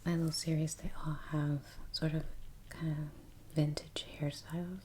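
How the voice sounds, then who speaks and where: A woman speaking in a soft, breathy near-whisper as the host of a relaxing ASMR painting channel. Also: low voice, flat delivery, normal speed, a little hiss or hum. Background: faint ambience, brush sounds.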